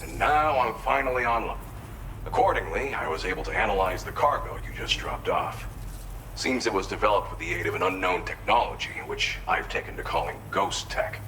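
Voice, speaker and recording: An actor playing an affable, grateful researcher from an isolated shelter in a video game, talking informally and explaining things. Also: male voice, low voice, animated, a little fast, sharp tone, slight background noise, heavily acted, low-quality recording. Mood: explanatory.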